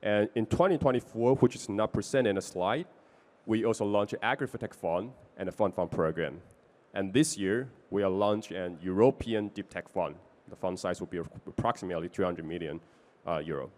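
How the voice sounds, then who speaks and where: A man from Taiwan with a Taiwanese accent presenting his investment fund in a business pitch, with small non-native grammar slips. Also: normal speed, darkish tone.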